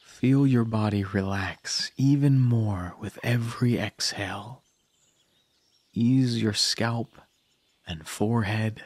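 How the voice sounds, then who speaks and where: A man narrating a scripted guided meditation in a calm, soothing manner with long pauses. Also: on the low side, no room sound.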